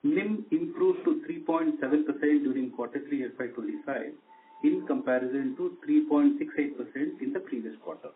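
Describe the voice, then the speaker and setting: A man's voice: fairly high pitch, normal speed, slight background noise. A man with an Indian accent formally reading out a scripted corporate earnings presentation as a company executive on a conference call. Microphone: conference call line. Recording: tinny narrowband conference phone line.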